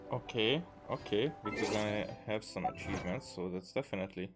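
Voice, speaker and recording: A man giving casual let's play commentary on a game, muttering to himself and exclaiming as he goes. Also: lowish voice. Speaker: gamer recording a playthrough.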